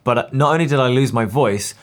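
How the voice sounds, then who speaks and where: A man's voice: mid-range voice, fast talker. A man co-hosting a casual podcast, joking and acting out little scenes. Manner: playful joker who acts out little scenes. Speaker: podcast co-host. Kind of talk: casual chat.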